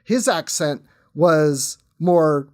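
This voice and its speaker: A man's voice: fairly high pitch, expressive, extremely slow, a little mellow. A man chatting as a guest in a casual fan podcast discussion, conversational with tentative asides.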